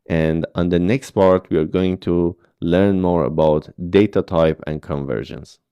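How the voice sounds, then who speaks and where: A man guides beginners through coding tasks one step at a time. His voice sits very low and mellow. The sound is close and dry, with pauses to ask whether the learner is following. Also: clean tone, no room sound, lo-fi sound. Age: 30s. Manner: patient step-by-step explaining with frequent "okay?" checks. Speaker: programming instructor. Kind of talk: screen-recorded coding tutorial.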